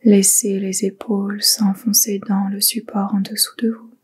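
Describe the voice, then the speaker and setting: A woman leading a guided yoga nidra relaxation in a soft, breathy, whispery voice, giving gentle, soothing instructions with pauses. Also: mid-range voice, little pitch movement.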